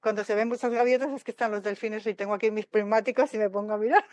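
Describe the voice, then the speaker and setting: A woman's voice: medium pitch, fast, no room sound. A woman from Spain, a tennis mental coach, chatting animatedly in an interview over a video call from home. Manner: chatty, animated, laughs easily. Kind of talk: casual interview talk. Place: home by the sea.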